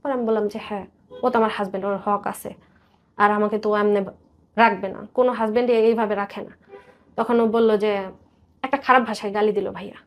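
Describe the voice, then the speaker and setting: A Bangladeshi woman in her thirties, speaking as a guest confiding her life story in an interview, in a hesitant, halting way. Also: mid-range voice, steady tone, muffled recording. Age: 30s. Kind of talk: personal confession in interview.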